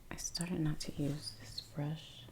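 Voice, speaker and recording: An American woman doing soft-spoken ASMR, chatting casually in a breathy near-whisper as she comments on her painting. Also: low-pitched, little pitch movement, measured pace. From United States. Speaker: ASMR creator. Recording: clear background noise, brush clicks.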